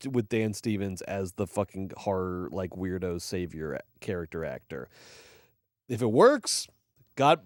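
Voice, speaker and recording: A man's voice: fairly low pitch, a little mellow, no room sound, clean studio sound. A man co-hosting a movie podcast, ranting emphatically and swearing freely in casual chat. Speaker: podcast co-host.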